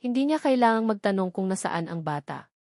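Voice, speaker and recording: A woman's voice: medium pitch, expressive, a little fast, darkish tone, no echo, studio quality. A woman reading a dramatic scripted story as an audiobook narrator, with an emotive storytelling delivery.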